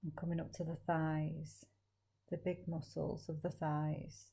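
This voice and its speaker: Woman, deep-pitched voice, soft mellow tone, slow and soothing, guided mindfulness meditation, long pauses. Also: level tone, creaky, slightly rough.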